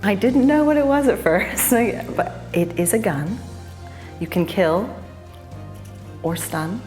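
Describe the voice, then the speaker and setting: A woman's voice: mid-range voice, slow, a little mellow, faint music behind. A woman, an actress, chatting lightly in an interview. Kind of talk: casual interview.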